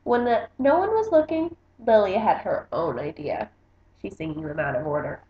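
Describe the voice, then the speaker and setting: A woman's voice: medium pitch, animated, moderate pace. A woman book vlogger reading a picture book aloud in a casual, chatty style. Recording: slightly dull, roomy home recording.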